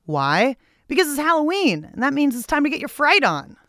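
A woman's voice: high-pitched, very animated, fairly quick, very noisy. An American woman hosting a radio show, reading scripted narration with playful, theatrical emphasis and casual asides.

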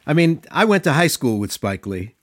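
A man of around sixty interviewing conversationally, building his questions gradually with halting, thoughtful phrasing. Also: on the high side, big pitch swings, no room sound, studio quality. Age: middle-aged or older, around 60.

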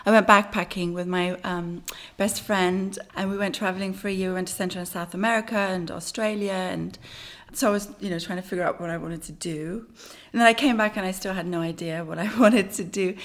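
A woman's voice: medium pitch, fairly even intonation, fairly quick. Chatty woman with a London accent. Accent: British, London. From born in Iran, grew up in London. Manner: chatty storytelling, laughs often. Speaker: guest actress. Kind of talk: casual podcast interview.

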